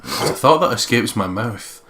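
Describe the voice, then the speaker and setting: A man with a fairly low voice hosts a let's play. He reads story narration aloud, drops in joking commentary, and has a faint layer of noise behind him. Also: animated, normal speed, pushed and bright. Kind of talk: reading a visual novel aloud with joking asides.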